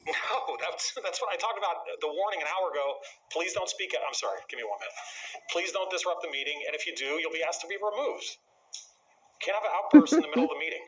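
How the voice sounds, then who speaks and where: A man's voice: on the high side, big pitch swings, fast, a bit bright. A man chairing a public meeting, admonishing in procedural language. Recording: slightly noisy public meeting recording.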